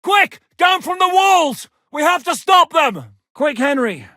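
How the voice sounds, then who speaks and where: A barking, foul-mouthed war commander. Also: male voice, very high voice, very animated, takes it slowly, bright, forceful tone, no echo, clean studio sound, full character voice. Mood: commanding. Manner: barking orders, coarse and blunt. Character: gruff battle-hardened male war commander. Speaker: military commander in a video game. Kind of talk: game voice lines.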